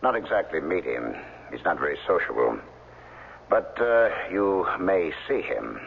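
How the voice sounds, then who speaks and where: An extremely deep, creaky-voiced fixer for a secretive billionaire. He talks with smooth, veiled menace and hesitant pauses, swinging widely in pitch. It sounds like slightly noisy 1970s radio drama. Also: very animated, exaggerated voice. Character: menacing, smooth-talking right-hand man of a reclusive billionaire. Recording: muffled, lo-fi 1970s radio with noise.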